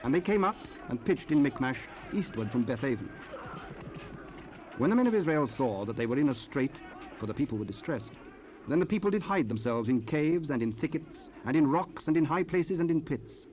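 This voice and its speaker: A man narrating dramatized scripture in a solemn, formal, measured manner. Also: a little high, lively intonation, darkish tone. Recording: faintly noisy cassette rip.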